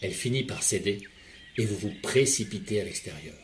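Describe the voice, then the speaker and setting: A man leading a guided relaxation session in a calm, measured voice, speaking directly to the listener. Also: on the low side, background noise. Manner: calm, measured second-person guiding. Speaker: hypnotherapist guide. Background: soft background music.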